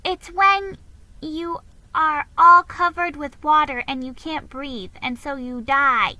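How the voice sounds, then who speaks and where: A woman's voice: sits high, fairly even intonation, slow pace, sharp tone, no room sound, pitched above the performer's own voice, full character voice. A woman voicing a small, inquisitive, earnest little girl, a younger sister in a storybook. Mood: solemn, explaining.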